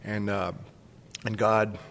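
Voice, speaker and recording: A man's voice: on the low side, flat delivery, slow, fairly bright tone, long reverb tail, a little hiss or hum. A man in his forties from Cuba, casually telling a personal story as a podcast guest. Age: 40s. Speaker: school staff member, podcast guest.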